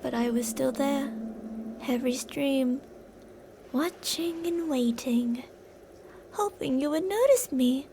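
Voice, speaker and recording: A woman's voice: high-pitched, animated, slow pace, long pauses, noisy background, exaggerated voice. A woman playing an obsessive, possessive female fan turned kidnapper in an intimate, dramatic ASMR roleplay monologue. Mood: hurt, wistful. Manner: intimate, swinging between insistent pleading and soft whispery menace.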